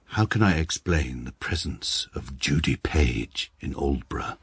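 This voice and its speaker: An actor with an English accent playing a stiff, precise barrister who narrates a radio comedy. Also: male voice, very deep voice, measured pace, background noise, heavily acted. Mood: dry. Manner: dry, precise, deadpan. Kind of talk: radio drama acting.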